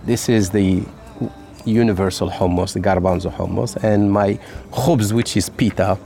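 A man's voice: lowish voice, moderate pace, gravelly, faint music behind. A man in his fifties with a Moroccan accent, a native Arabic speaker, talking casually and playfully as he serves food. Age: 50s. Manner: energetic, joking, with playful asides. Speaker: chef and restaurant host being interviewed. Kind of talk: casual storytelling while serving food.

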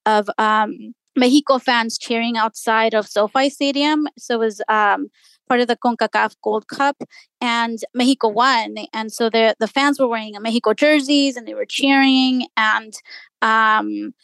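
A woman's voice: a little high, steady tone, measured pace, fairly bright tone, clear, resonant tone, no room sound. A woman journalist whose first language is Spanish, speaking earnestly in a radio interview and thinking aloud with many restarts. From United States. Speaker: journalist guest.

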